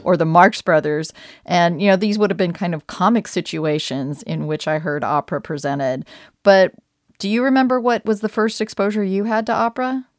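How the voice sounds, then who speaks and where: A woman's voice: on the low side, normal speed, no room sound, faint music behind. A woman asking conversational, prepared questions as an interviewer.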